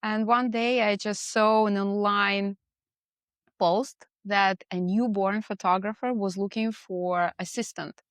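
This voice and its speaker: A woman's voice: medium pitch, fairly even intonation, slow, dry recording. A woman from Russia with a Russian accent, telling her own story as a guest in a casual interview. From Moscow, Russia. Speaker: podcast guest, portrait photographer.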